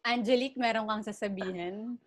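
A woman's voice: mid-range voice, steady tone, fairly quick, no echo, clean studio sound. A Filipino woman in her late twenties co-hosting a podcast, leading the conversation playfully and laughing easily. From Philippines. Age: late 20s. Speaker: podcast co-host. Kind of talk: casual chat mixing English and Tagalog.

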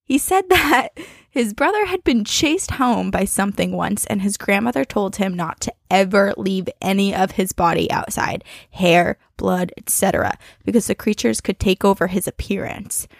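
A woman co-hosting a podcast, chatting casually and reading listener emails aloud in an expressive, conversational way. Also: mid-range voice, normal speed, gravelly, dry recording, studio quality. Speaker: podcast co-host.

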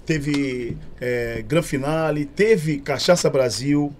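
A man with a Santos Brazilian Portuguese accent chats in a casual podcast. His voice sits a bit high and he tells stories animatedly, circling back over his words. A faint background noise stays under him. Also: slow pace. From Baixada Santista, Brazil. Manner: animated storyteller, repeats phrases. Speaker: nightclub promoter.

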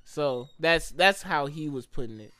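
A man's voice: a little high, animated, measured pace, bright, forceful tone, dry recording. A man, chatting casually as a podcast co-host, slangy and loose. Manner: slangy, frequent "you know what I'm saying". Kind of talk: casual chat.

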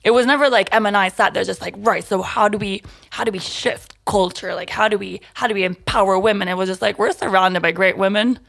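A young Italian woman with an American accent talks freely as a podcast guest, her voice dipping into a gravelly fry. She rambles in a quick, friendly flow and laughs at her own word slips. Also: medium pitch, fairly even intonation, a bit bright. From Italy. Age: 20s. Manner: chatty and fast-flowing, laughs at herself. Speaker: podcast guest, music collective organizer. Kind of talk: casual podcast interview.

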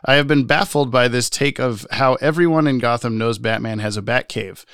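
A man's voice: medium pitch, moderate pace, fairly bright tone, clear, resonant tone, dry recording, studio quality. A man hosting a podcast, chatting casually and jokily, rambling a little and reading out listener mail. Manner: jokey, rambling. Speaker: podcast host.

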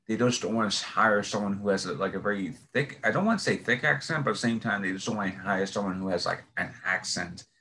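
Halting young New York man. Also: on the low side, sharp tone. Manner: halting, many fillers and restarts. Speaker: interviewer. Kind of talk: casual interview.

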